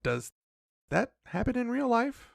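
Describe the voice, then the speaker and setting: Actor as fussy magical companion, gravelly fry, swooping intonation, wary, nervous, admonishing, audio drama. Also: mid-range voice, very animated, unhurried, dry recording, clean studio sound, full character voice. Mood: doubtful.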